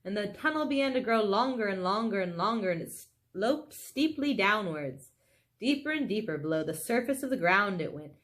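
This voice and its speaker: A woman reading a children's story aloud in a dramatic, expressive way. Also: a little high. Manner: animated, dramatic, with exclaimed asides to listeners. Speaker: storybook reader.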